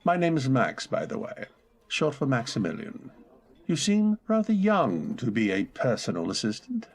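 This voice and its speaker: A wealthy, melancholy gentleman, acted by a man speaks formally in an old-time radio play. His voice is husky and creaky, moving freely up and down within a polite reserve. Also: medium pitch, wildly swooping pitch, normal speed. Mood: curious, charmed.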